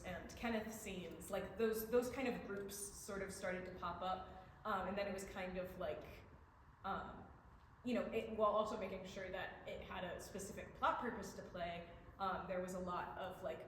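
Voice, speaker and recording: Young woman in a very echoey room. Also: on the low side. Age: young adult. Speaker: student playwright. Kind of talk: casual post-show Q&A answers. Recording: very echoey room, faint background noise.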